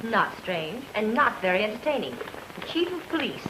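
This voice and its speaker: An actress playing a melodramatic, complaining newlywed bride in an old-time radio drama. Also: female voice, mid-range voice, big pitch swings, normal speed, close to an everyday voice. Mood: dry. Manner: theatrical, complaining. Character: melodramatic, petulant young bride on honeymoon. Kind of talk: old-time radio comedy-mystery acting. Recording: noisy old radio recording.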